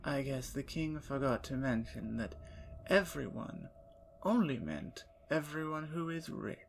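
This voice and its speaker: A woman voicing a playful, talkative female vampire in an ASMR roleplay, teasing and dropping into soft whispers. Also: a bass voice, expressive, a little slow, gravelly, slight background noise, heavily acted. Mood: bitter.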